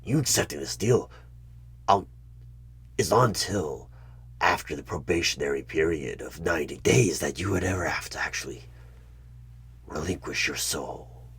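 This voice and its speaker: A man voicing a sly, coaxing demon recruiter in a husky whisper for a horror ASMR audio roleplay. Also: rumbling low, animated, a bit bright, full character voice. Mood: smooth salesman persuasion. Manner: slow, drawn-out, insinuating, persuasive.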